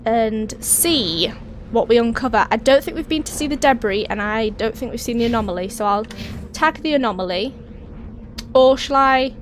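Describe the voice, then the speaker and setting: Raspy woman with a cold, gaming. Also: fairly high pitch, moderate pace. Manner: chatty, thinking aloud while playing. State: hoarse with a cold. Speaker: let's play host. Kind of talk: casual gameplay commentary. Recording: voice over steady game engine noise.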